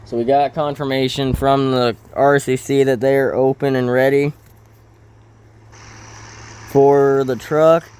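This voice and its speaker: A man giving casual role-play gameplay commentary in a halting way, thinking aloud as he hosts. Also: mid-range voice, level tone, a little mellow, clean tone. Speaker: gaming video host.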